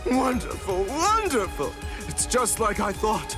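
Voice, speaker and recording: Manic mad scientist, high male register, effusive servile theatrics, anime game, faint music behind. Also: sing-song, measured pace. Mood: manic glee. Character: Manic, gloating mad scientist man, fawning to his master. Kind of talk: anime video game voice acting.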